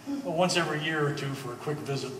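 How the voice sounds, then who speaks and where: A man's voice: a little high, fast, a bit bright, lightly acted. A man playing an easygoing old family friend in a stage play, with a wry, hesitant, joking manner. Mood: wry, casual. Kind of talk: one-act stage play performance. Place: gallery stage performance, indoors. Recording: echoey hall, distant mic, faint noise.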